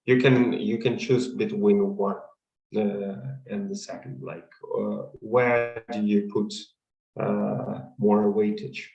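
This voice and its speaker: A man who is not a native English speaker, coaching in a webinar Q&A and pausing often on fillers. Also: lowish voice, little pitch movement, vocal fry, a little mellow, roomy sound, highs cut off. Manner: measured, many hesitant fillers. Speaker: consulting career coach. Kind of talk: webinar talk and Q&A.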